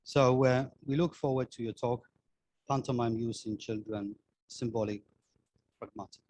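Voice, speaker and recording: A man's voice: mid-range voice, fairly even intonation, normal speed, a little mellow, low-quality recording. A man acting as session chair, giving a spoken introduction of a speaker at an academic workshop.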